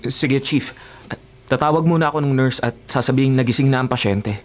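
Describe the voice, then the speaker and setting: A man's voice: medium pitch, fairly quick, a little hiss or hum, close to an everyday voice. A man playing a blunt, reproachful racing teammate in a Filipino radio drama.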